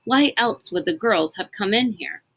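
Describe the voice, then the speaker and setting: A woman's voice: medium pitch, expressive, measured pace, fairly bright tone, a little hiss or hum. A woman voicing a haughty, suspicious girl guard in a storybook, with an accusing manner. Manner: snarling, accusing.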